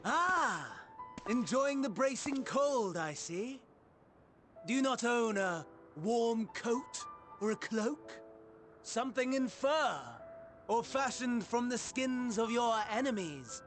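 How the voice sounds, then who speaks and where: A man's voice: extremely high pitch, lively intonation, takes it slowly, a bit bright, soft background music, full character voice. A man playing a courteous, mocking villainous schemer with ornate, theatrical delivery in a video game cutscene. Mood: mocking, amused.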